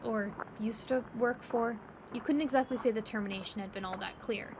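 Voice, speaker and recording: A woman's voice: fairly high pitch, normal speed. A woman narrating a scripted story in the second person as the narrator of an audio drama. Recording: loud steady background ambience noise. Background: ambient noise.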